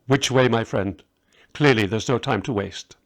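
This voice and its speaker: A man as Gaspar, a loyal steady magus. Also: mid-range voice, unhurried, creaky. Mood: resolute. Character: loyal, steady male magus, reassuring and inquisitive friend.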